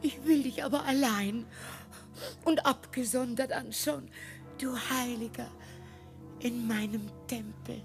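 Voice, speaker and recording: A woman's voice: on the high side, slow pace. An actress playing a naive, sentimental woman who sighs and gushes affectedly in a radio play. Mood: adoring rapture. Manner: sighing, gushing, affected. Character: naive, sentimental, swooning woman being courted. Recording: music under the voice.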